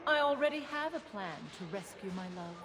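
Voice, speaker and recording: An actress playing a proud, sardonic Norse woman bearing ominous news in a video game, measured and taunting. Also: medium pitch, animated, long reverb tail, a crowd in the background, natural voice. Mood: defiant. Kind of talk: dramatic cinematic video game acting.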